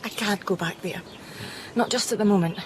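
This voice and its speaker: A woman's voice: medium pitch, fairly quick, noisy background, close to an everyday voice. A woman acting in a radio drama as a grieving mother, bitter, distraught and stubborn. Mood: shaken, weary.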